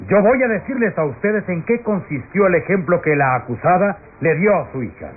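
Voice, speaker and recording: A tinny, slightly noisy old radio drama recording carries a fairly high-voiced male prosecutor. He declaims solemnly and pompously, accusing before a jury with theatrical weight. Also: expressive, fairly quick. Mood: grave, accusing. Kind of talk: melodramatic classic radio drama oratory.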